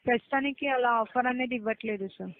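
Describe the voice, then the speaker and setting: A woman's voice: fairly high pitch, fast. Formal woman agent on a muffled phone line. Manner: formal, apologetic, repeats set phrases. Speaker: customer care agent. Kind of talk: scripted polite phone customer service. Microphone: telephone line.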